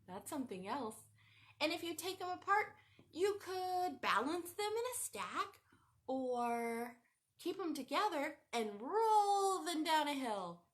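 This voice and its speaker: Lively high-voiced woman teaching small children. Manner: sing-song, animated, talks straight to young children. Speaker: children's show host. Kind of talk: children's craft lesson. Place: home room with a cat nearby.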